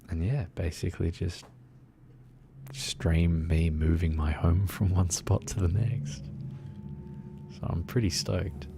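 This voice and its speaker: Slow, deep, gravelly man. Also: a little hiss or hum. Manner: soft-spoken and soothing, rambling with many fillers. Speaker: game streamer. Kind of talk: relaxed casual chat while gaming.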